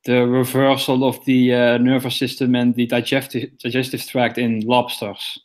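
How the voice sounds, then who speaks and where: A man's voice: mid-range voice, monotone, normal speed. A Dutch man with a Dutch accent on a video call, speaking English hesitantly with stammers and apologies. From Netherlands.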